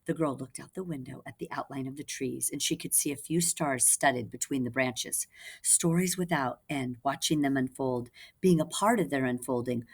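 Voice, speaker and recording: Low-voiced woman reading aloud to children. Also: moderate pace, slightly rough. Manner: instructive, addressing young listeners. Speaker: reading teacher. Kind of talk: children's read-aloud with explanatory asides.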